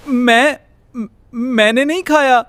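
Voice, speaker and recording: A man's voice: extremely high pitch, steady tone, pushed and bright. An AI-generated man's voice playing a guilty, sheepish cartoon potato with a nervous, confessional manner. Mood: nervous denial.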